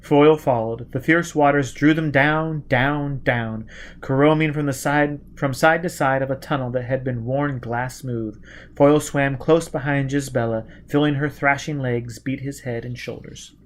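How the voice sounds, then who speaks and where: A man with a mid-range voice narrates an audiobook. His scripted reading is dramatic and expressive, with a deliberate, intense delivery. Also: medium pitch.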